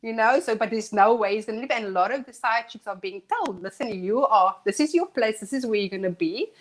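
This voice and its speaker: A woman co-hosting a live talk show who talks earnestly and thinks out loud. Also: on the high side, lively intonation, brisk. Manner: earnest, reflective, thinks aloud. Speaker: co-host. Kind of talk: casual discussion on a live show.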